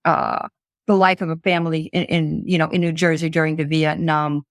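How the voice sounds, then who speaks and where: Older woman, halting and reflective, dry sound. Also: low-pitched, little pitch movement, no echo. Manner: halting, searching for words, repeats phrases. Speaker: interview guest, author and psychologist. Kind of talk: reflective personal conversation.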